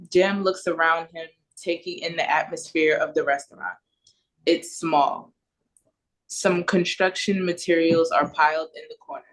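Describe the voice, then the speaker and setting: A woman's voice: deep voice, low-quality recording. A woman with an American accent reading stage directions as narrator at an audio play read-through. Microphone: video call.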